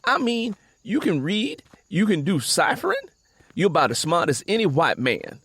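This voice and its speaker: A man voicing a puzzled, pestering, slow-witted working man in folksy rural dialect for an audiobook. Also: high-pitched, wildly swooping pitch, fairly bright tone, slightly rough, dry recording, pitched above the performer's own voice, exaggerated voice. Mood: puzzled, insistent. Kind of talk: dramatised audiobook dialogue.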